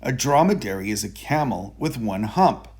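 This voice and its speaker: A man reading a children's picture book aloud in a deliberate, expressive storytelling manner. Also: fairly low pitch, slightly rough. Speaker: storybook reader.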